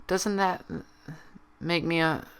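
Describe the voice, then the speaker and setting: Man voicing a high, childlike, fragile female pony. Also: fairly even intonation, fairly bright tone, clear, resonant tone. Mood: timid. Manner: stammering, blubbering, halting.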